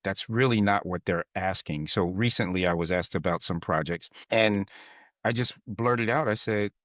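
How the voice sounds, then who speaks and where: A low-voiced man hosts a casual interview in a dry, close-miked sound. He thinks aloud with restarts, telling stories from his own working life before he puts his question. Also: a bit bright, no room sound. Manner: searching, halting, with restarts and reflective anecdotes. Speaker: podcast host.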